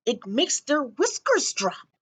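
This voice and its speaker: A woman voicing an eccentric old man in a storybook, secretive and emphatic in a halting way. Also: high voice, very animated, a bit bright, voice pushed up, heavily acted. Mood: secretive, ominous. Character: crazy old man upstairs, mysterious mustached mouse-keeper.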